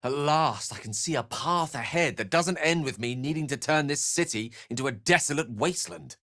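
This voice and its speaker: A man's voice: on the high side, moderate pace, pushed and bright, no echo, clean studio sound. A man voicing an eloquent, bookish wizard in a video game, articulate and theatrical, with ornate scholarly phrasing and a wry streak. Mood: earnest, grave. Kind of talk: scripted video game character dialogue.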